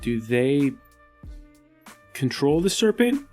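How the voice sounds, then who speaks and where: A man's voice: mid-range voice, big pitch swings, takes it slowly, mellow, soft background music. Man casually strategizing at game table.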